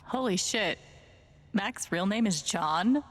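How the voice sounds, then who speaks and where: An actress playing a wary, determined young woman in a video game, thinking aloud in a hushed, breathy, wry way. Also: mid-range voice, animated, slow. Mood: shocked. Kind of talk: naturalistic video game acting.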